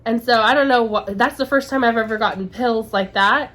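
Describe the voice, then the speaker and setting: A woman's voice: on the high side, a little fast, fairly bright tone. A woman getting over a sinus infection, co-hosting a podcast in casual chat, telling long rambling stories with restarts. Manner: rambling storyteller, restarts and asides. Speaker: podcast co-host. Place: dim office lobby, on a couch. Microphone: camera and lights set up.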